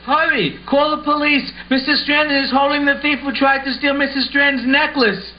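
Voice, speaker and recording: Man playing a high-voiced earnest polite boy. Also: fairly even intonation, moderate pace. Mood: excited, urgent. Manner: earnest, simply explaining. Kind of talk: amateur puppet show acting. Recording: muffled home recording with hiss.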